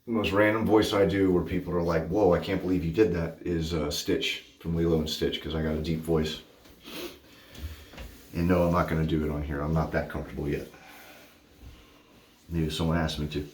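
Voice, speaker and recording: A man's voice: sits low, level tone, a little fast, long pauses, a little mellow. A man in his thirties chatting casually to camera in a small home bathroom, goofy and hyper, often correcting himself. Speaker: shaving vlogger. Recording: echoey bathroom.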